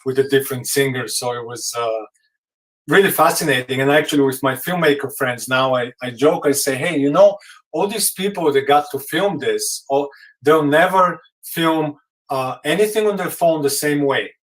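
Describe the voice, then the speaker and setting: Halting man on a video call. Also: medium pitch, recorded from a distance, low-quality recording. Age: adult. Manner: warm, halting, many fillers and restarts. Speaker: film director hosting the stream. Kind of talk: casual video call talk.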